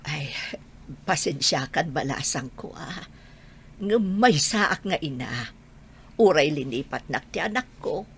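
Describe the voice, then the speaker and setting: A woman's voice: low voice, animated, slow pace, slightly rough, full character voice. A woman playing a tender, plaintive old grandmother who longs for her son in an audio drama. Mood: sorrowful, insistent. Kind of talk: Ilocano audio drama acting.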